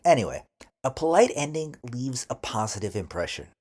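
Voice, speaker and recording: A man teaching an English lesson, speaking deliberately and enunciating carefully as he gives model phrases for learners to repeat. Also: medium pitch, very animated, darkish tone.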